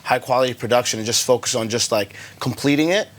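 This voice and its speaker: A man who grew up in Germany speaks as a guest filmmaker on a talk show. His voice has an ordinary middle pitch. He answers in a relaxed, halting way, with plenty of pauses and fillers. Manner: relaxed, hesitant, many fillers. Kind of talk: casual interview answers.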